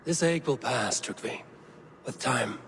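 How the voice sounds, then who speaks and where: A man voicing a steady Viking warrior and counselor in a video game, speaking in measured, formal phrases. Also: mid-range voice, gravelly, slight background noise. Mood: consoling. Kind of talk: video game cutscene acting.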